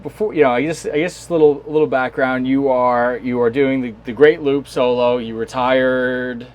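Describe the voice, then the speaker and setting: A man's voice: medium pitch, steady tone. A man hosting a podcast interview aboard a small boat, speaking casually and often repeating words as he goes. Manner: friendly, halting, repeats words. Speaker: podcast host.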